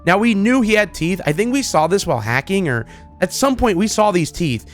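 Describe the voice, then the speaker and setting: A man with a slightly high voice talks as a gaming video creator. His commentary is casual and excited, wandering loosely from thought to thought. Music plays faintly behind him. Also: brisk. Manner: informal, enthusiastic, rambling.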